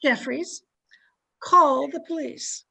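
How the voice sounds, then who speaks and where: A woman's voice: high voice, slow, vocal fry, bright, forceful tone, heavily acted. A woman playing a domineering, haughty, easily outraged society wife in an old-time radio drama, scolding and imperious. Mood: outraged, commanding. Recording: amateur video-call recording.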